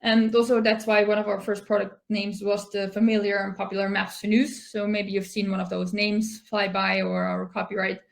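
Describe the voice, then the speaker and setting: A woman's voice: medium pitch, flat delivery, moderate pace, creaky, few pauses. A woman from Austria with an Austrian accent, giving an online meetup presentation in a friendly way with frequent "um" fillers. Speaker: conference speaker. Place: home office, remote.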